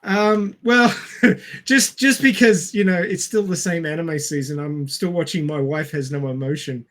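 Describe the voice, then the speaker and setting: A man with a notably high voice and an Australian accent. He chats casually as a podcast co-host, joking and poking fun at himself. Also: normal speed, a bit bright. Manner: jokey, self-deprecating. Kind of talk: casual chat.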